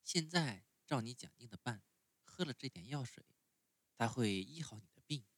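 A storyteller voicing a patient, motherly fairy who gently coaxes a sick child. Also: medium pitch, expressive, moderate pace, breathy edge, no room sound, voice pushed up. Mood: coaxing. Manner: soft, coaxing, gentle.

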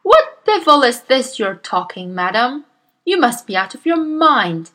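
A woman voicing a huge, bullying female headmistress who barks and shouts with scorn. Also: high-pitched, very animated, measured pace, pitched above the performer's own voice, exaggerated voice. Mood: bellowing scorn.

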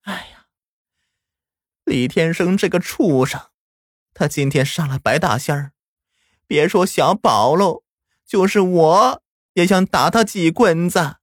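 A man putting on a slow, soft-spoken old granny. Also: a little high, animated, no echo, clean studio sound, voice pushed up. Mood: stern. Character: old grandmother, soft and doting.

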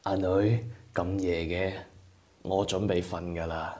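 A man's voice: deep voice, flat delivery, takes it slowly, darkish tone. A man with a Cantonese accent playing a caring, anxious older father in a radio drama. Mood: caring, fussing. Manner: fussing, coaxing.

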